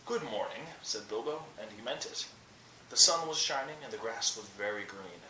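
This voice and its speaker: A young man narrates a bedtime story from a book. His voice is bright and mid-pitched. The mic sits a little away, so the room echoes around him. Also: expressive, moderate pace. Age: young adult. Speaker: storyteller reading aloud. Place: small indoor room by a curtain. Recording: roomy, distant webcam mic, faint noise.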